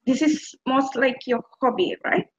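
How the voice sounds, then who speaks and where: A woman's voice: high voice, fairly even intonation, measured pace. A young woman interviewing a guest casually over a video call, asking short questions with hesitant fillers and a ready laugh. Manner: brief, giggly prompts with hesitant fillers. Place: indoor room with a painted wall. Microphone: laptop webcam on a video call. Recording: dull lo-fi call audio.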